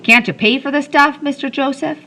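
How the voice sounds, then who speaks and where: A woman's voice: high voice, brisk, background noise, voice pushed up. A woman voicing a bossy, scheming young boy who snaps orders at the other children. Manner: bossy, cocksure, snapping.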